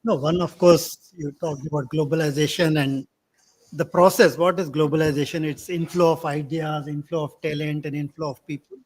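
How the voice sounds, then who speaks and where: A man with an Indian accent and a husky, somewhat high voice answers on a panel. He speaks in an explanatory manner, setting out points. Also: fairly even intonation, normal speed, rough recording.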